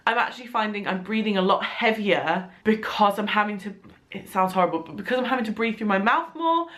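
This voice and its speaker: A woman's voice: mid-range voice, fairly bright tone. A young woman with a blocked, nasal voice from congestion, chatting casually to her camera. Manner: chatty, rambling, laughs now and then. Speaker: vlogger. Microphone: compact camera.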